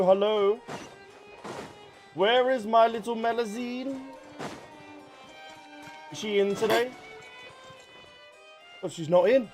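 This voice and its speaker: A man's voice: sits high, a little mellow. A man doing casual live game commentary as a streamer, playful and chatty, reacting aloud. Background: game music.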